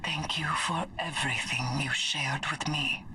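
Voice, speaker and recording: A woman playing a solemn fallen warrior in a video game, giving a grave, measured farewell monologue. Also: low-pitched, fairly even intonation, sharp tone, far from the mic, slight background noise. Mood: solemn, tender.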